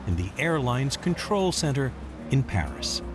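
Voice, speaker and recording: A man's voice: medium pitch, big pitch swings, unhurried, loud music behind the voice. A man reading scripted documentary narration as the narrator.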